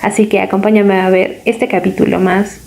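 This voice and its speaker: A woman's voice: medium pitch, little pitch movement, moderate pace, vocal fry, darkish tone. A woman hosting a faith interview show, friendly and laughing along with her guest. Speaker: host. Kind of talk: scripted intro and interview.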